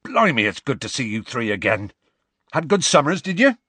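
A man voicing a huge, gruff, soft-hearted man who blusters in blunt rustic dialect for a storybook. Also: mid-range voice, sing-song, moderate pace, dry recording, pitched above the performer's own voice, full character voice. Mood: dismissive, firm.

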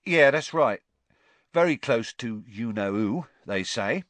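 A man voicing an excitable young male bus conductor who gossips and keeps seeking agreement. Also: medium pitch, slow pace, no room sound. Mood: excited gossip.

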